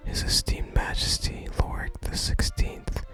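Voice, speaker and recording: The voice is an entirely whispered, breathy ASMR delivery. It reads fantasy game dialogue in a gentle, deliberate way and slips into casual player commentary. Music is clearly audible behind the whisper. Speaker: ASMR gaming content creator.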